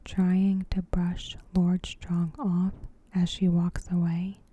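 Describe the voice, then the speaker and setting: Female ASMR narrator, whispered and very slow, gentle recap read with flat, even delivery. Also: on the low side. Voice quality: breathy, whispered soft speech. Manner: gentle, unhurried, evenly measured. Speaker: ASMR video host.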